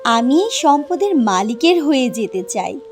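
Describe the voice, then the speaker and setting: A humble, devoted maidservant in a storybook cartoon, played by a woman narrator. Her voice is high and slow, with music behind it. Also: very animated, heavily acted. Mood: earnest, devoted.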